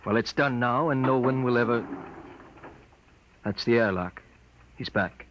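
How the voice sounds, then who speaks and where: A man's voice: medium pitch, a little slow, lightly acted. An actor playing a dutiful, unsentimental spaceship crewman in a 1950s radio drama, speaking with clipped earnestness. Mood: tense, firm. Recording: muffled, faintly noisy 1950s radio recording.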